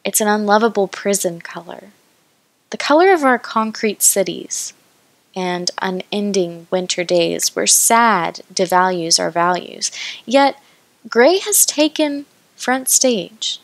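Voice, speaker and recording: A woman poet reciting her own work deliberately, pausing between short phrases. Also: mid-range voice, expressive, creaky, no room sound. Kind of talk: poetry recitation.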